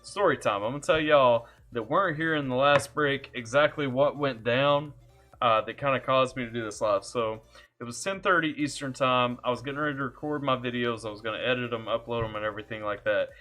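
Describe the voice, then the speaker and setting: A man's voice: mid-range voice, fairly quick, pushed and bright, faint music behind. A man from Kentucky with a Southern accent, chatting casually on a live stream, laid-back and jokey, greeting viewers by name. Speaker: music reaction streamer. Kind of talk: casual live-stream chat, reading chat aloud. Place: home computer setup.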